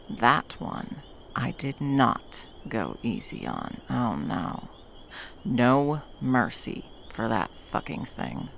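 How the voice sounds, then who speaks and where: A battle-hardened female monster hunter, voiced by a woman for an intimate ASMR roleplay, fiercely protective of a lover. The voice is slow and gravelly with wide, expressive swings, mixing dry menace with tenderness. Faint background noise sits underneath. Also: extremely low pitch, full character voice. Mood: cold fury.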